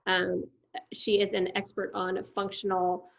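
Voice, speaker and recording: A woman's voice: mid-range voice, monotone, measured pace, creaky. Chatty middle-aged woman interviewer. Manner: chatty, affirming, many fillers. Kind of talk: conversational interview.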